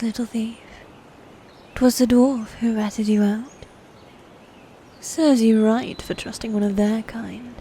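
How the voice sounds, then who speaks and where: A woman playing a sadistic, taunting medieval knight in a dark fantasy ASMR roleplay, mocking and formal with breathy whispers. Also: fairly high pitch, a little hiss or hum. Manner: slow, mocking, formal old-fashioned speech with breathy whispers.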